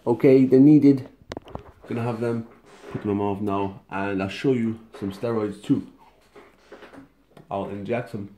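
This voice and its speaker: A young man chats to his phone camera in a home kitchen, cracking jokes in street slang. His voice is low and mellow, and the room echoes around him. A faint hiss of noise sits underneath. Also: steady tone, unhurried, long pauses. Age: young adult. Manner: cheeky, joking slang banter. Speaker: vlogger. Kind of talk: casual vlog to camera. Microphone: phone camera held at arm's length.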